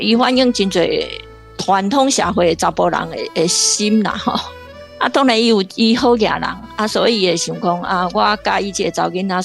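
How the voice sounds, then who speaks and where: A woman's voice: medium pitch, gravelly. A woman with a Taiwanese accent giving informal literary commentary as a guest professor, explaining point by point.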